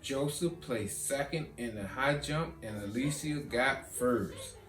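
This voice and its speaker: A man reading a children's storybook as narrator, animated and with dramatic pauses. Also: medium pitch. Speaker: author reading own children's book. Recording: roomy echo, guitar music behind.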